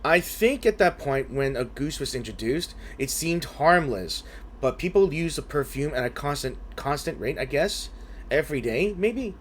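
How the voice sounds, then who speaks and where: A man hosting a gaming video, commenting casually and haltingly as he reasons aloud over game music. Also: fairly high pitch, expressive, slight background noise. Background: faint game music.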